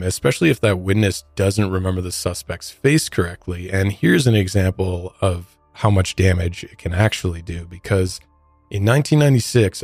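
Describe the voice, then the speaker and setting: A low male voice, recorded dry with very little room sound. He co-hosts a podcast, mixing conversational true-crime commentary with prepared facts. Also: darkish tone, no echo. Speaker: podcast co-host.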